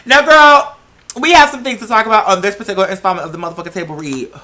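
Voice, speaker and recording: An American vlog host chatting casually and emphatically, with slangy, sweary, animated rambling. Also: medium pitch, fast. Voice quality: slangy speech peppered with "girl" and swearing. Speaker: host of a talk show vlog. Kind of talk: casual opinionated solo chat.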